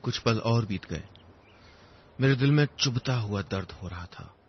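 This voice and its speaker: A man narrating a scripted first-person story in a measured, reflective way, with short pausing phrases. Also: medium pitch. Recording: dull lo-fi audio under music. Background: instrumental music.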